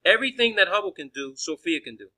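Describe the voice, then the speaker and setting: A man's voice: sits high, animated, fast, bright, forceful tone, breathy edge. A man arguing in a phone conversation as a caller, pressing his points insistently and often restarting his phrases. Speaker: caller questioning a space agency official.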